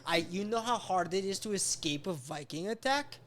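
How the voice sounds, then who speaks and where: A man putting on a slow fake-European boat builder. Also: high voice, lively intonation, fairly bright tone. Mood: plaintive. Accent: fake slow European accent. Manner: slow, drawn-out, plaintive delivery. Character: weary grown male boat builder, put-upon and self-pitying.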